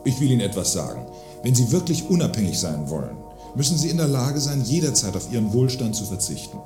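A man's voice: mid-range voice, very animated, quick pace, music in the background. A man acting an earnest, preachy adviser in a satirical radio play, with a formal, lecturing manner. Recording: roomy echo.

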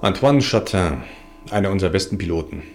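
A man playing a confident, sardonic sect leader in a science-fiction audio drama, with a creaky, gravelly voice. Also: deep voice, expressive, a little fast, faint music behind. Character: confident, smooth-talking male sect leader and space settler.

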